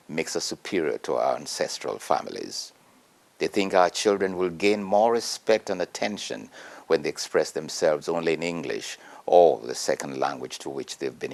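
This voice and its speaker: A man reading a prepared essay aloud in a measured, earnest way. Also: extremely low pitch, creaky. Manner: measured, earnest oratory with emphatic rises.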